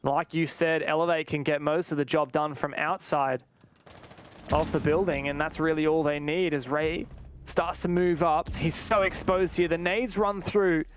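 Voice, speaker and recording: A man's voice: a little high, steady tone, moderate pace, slight background noise. A man doing live esports commentary and analysis in a conversational manner.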